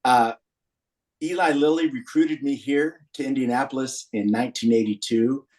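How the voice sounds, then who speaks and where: An American man in his sixties speaks as a guest on a podcast. His voice sits at an average pitch, and he recounts personal history in an earnest, anecdotal manner. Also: fairly even intonation, moderate pace, darkish tone. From Berkeley, California, USA. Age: 60s. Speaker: podcast guest, founder of a men's community group. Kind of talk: personal storytelling in a podcast interview.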